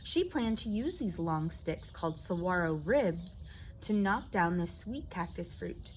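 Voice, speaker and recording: A woman's voice: on the low side, very animated, measured pace, slight background noise. An American woman telling a children's story aloud, expressively and addressing her young listeners directly. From southwestern United States desert.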